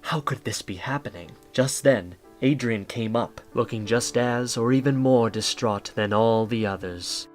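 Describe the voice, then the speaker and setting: A man's voice: mid-range voice, measured pace, few pauses. A man reading scripted story narration as the narrator of an audio drama. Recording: faint music bed.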